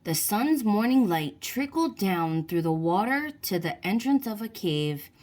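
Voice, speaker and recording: A woman narrates a picture book for young listeners. Her pace is slow and deliberate, and her pitch moves in a lively, expressive way. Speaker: children's book reader. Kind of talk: read-aloud storybook narration for children.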